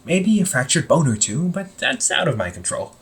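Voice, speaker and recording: A man playing a young supervillain in an amateur audio roleplay, husky and breathy, speaking earnestly and haltingly to the listener. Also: fairly high pitch, big pitch swings, full character voice. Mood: wry, casual. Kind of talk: amateur audio roleplay, intimate one-sided dialogue to listener.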